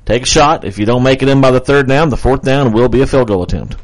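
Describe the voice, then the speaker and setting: A man's voice: medium pitch, fast, rough recording. A man co-hosting a local radio talk show, bantering playfully and laughing often. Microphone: studio microphone.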